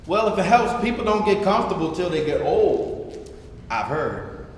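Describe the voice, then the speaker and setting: A man acting a wise, motherly party hostess with a gentle, folksy manner, in a staged reading in an echoey hall. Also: sits high, sing-song, moderate pace. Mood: gentle, wry. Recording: very echoey hall, noisy live stream.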